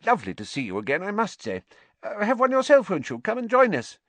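A man's voice: high-pitched, wildly swooping pitch, quick pace, a bit bright, no echo, voice pushed up, exaggerated voice. A man voicing a pompous, blustering middle-aged government minister in a storybook. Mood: jovial.

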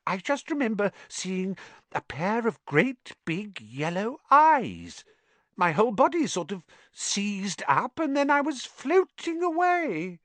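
A man voicing a melodramatic, whiny teenage girl ghost in a high, wailing voice. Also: wildly swooping pitch, slow, fairly bright tone, no echo, exaggerated voice. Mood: hushed dreamy. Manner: high, wailing, relishing gloom.

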